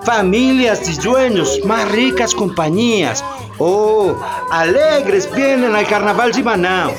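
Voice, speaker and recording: Radio drama: exuberant carnival announcer, Brazilian accent, unhurried delivery over clear background music. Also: female voice, medium pitch, lively intonation. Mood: festive. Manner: theatrical, exclamatory, repeats phrases. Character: festive Brazilian carnival announcer mixing Portuguese and Spanish.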